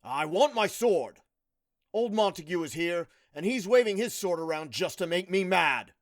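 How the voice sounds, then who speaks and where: Capulet, a man doing a high blustering old lord. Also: animated, moderate pace, no room sound, studio quality. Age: older. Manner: blustering, demanding. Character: blustering old nobleman eager to fight.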